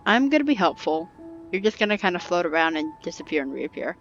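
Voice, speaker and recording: A young woman streams a game with casual running commentary. Her voice is a little low, and she makes playful, teasing remarks. Game music is clearly heard behind her. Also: big pitch swings, fast talker, highs cut off. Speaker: gaming streamer. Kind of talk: casual reactive gameplay commentary.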